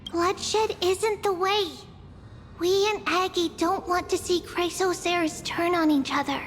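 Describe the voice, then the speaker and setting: A serene young holy maiden with a high, gentle voice. She speaks slowly and solemnly, like a mystic, in a long reverberant space with faint music. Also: fairly even intonation, a little hiss or hum, full character voice.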